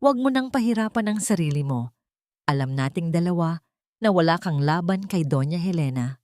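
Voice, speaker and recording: A woman's voice: on the low side, expressive, moderate pace, a little mellow, dry recording, clean studio sound, close to an everyday voice. A woman voicing a cold male henchman in an audio story, threatening and commanding. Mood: cold menace. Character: cold, menacing male henchman cornering his victim.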